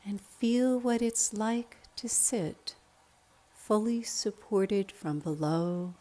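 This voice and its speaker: A woman leading a guided meditation in a calm, measured, instructional manner. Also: mid-range voice.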